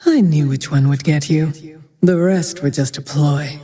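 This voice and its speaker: A woman playing a cold, vengeful, menacing female archer in a video game, with a whispery edge and slow, icy delivery. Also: low-pitched, dark tone, long reverb tail. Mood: grim wry. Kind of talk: video game character voice lines.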